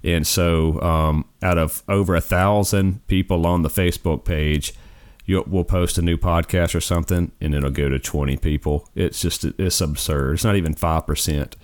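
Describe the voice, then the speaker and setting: A man's voice: low voice, fairly even intonation, moderate pace, clear, resonant tone. A forty-year-old man co-hosting a gaming podcast in a rambling, easygoing casual chat, often tossing in "man". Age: 40s. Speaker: podcast co-host.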